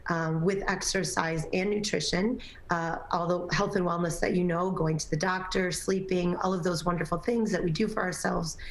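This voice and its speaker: Slightly scratchy woman, roomy sound. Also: fairly low pitch. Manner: conversational, with many fillers. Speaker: personal trainer and nutrition coach guest. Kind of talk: morning TV interview.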